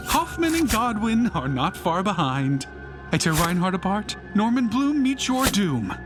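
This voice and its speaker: A man performing a bitter, self-righteous failed painter turned fanatical vandal in an intense, rhyming dramatic monologue. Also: fairly high pitch. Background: music behind the voice.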